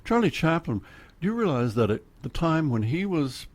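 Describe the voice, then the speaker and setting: A man's voice: fairly high pitch, sing-song, moderate pace. An older man with an Oklahoma accent, telling rambling, chatty stories as a radio guest. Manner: rambling storyteller, laughs often, jumps between topics. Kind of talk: casual radio chat. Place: radio studio.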